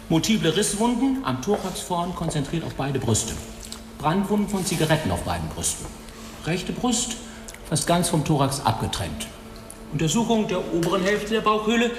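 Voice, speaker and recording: An actor playing a big old male pathologist who dictates an autopsy report in a dry, clinical manner, in a radio drama. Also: on the high side. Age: older. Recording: echoey tiled room, faint hiss.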